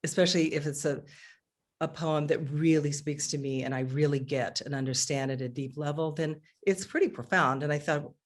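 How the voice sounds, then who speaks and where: A middle-aged woman, a singer, talking casually on a video call from her living room, chatty and animated with frequent restarts. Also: low voice, normal speed. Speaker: singer and guest.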